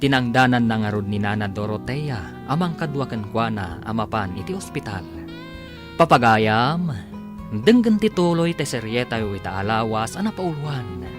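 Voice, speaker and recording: Man, theatrical drama narrator, lively swooping intonation, medium pitch, guitar music behind. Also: normal speed. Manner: theatrical announcer delivery. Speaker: radio drama narrator and announcer.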